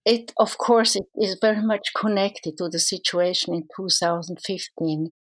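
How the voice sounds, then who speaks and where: A woman's voice: mid-range voice, normal speed. A middle-aged woman from Switzerland with a Swiss accent, a psychoanalyst answering interview questions in a thoughtful, earnest way with frequent restarts.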